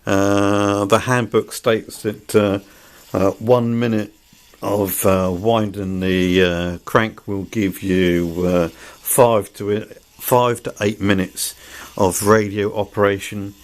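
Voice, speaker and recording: A man's voice: lowish voice, fairly even intonation, takes it slowly. A man giving an unscripted product review in a plain-spoken, somewhat hesitant way. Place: indoors.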